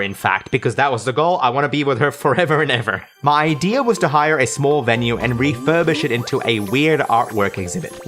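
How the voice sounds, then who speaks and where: A young man telling scripted personal stories as a YouTube storyteller, expressive and self-deprecating. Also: medium pitch. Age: young adult. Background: background music.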